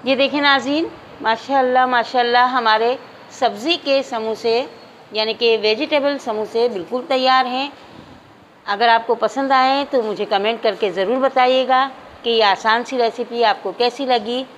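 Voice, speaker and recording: A middle-aged woman with an Urdu accent calmly explaining a recipe step by step to viewers in a home kitchen. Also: fairly high pitch, normal speed, dull audio, a little hiss or hum. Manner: calm, instructive, addresses viewers as nazreen. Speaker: cooking channel host. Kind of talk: step-by-step cooking instructions.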